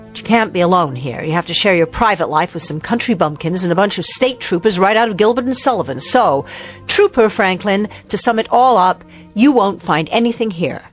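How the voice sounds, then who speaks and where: An actress playing a haughty, sharp-tongued fashion editor in a radio drama, wordy and sarcastic to hide her nerves. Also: medium pitch, animated, brisk, soft background music, close to an everyday voice. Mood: scornful, bitter.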